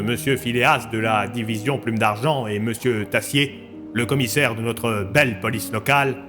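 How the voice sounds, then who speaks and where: A man's voice: mid-range voice, a bit bright, lightly acted. Man playing a formal, hesitant village mayor. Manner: formal, hesitant public speaking. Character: earnest, official village mayor addressing the public. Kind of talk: audio drama acting.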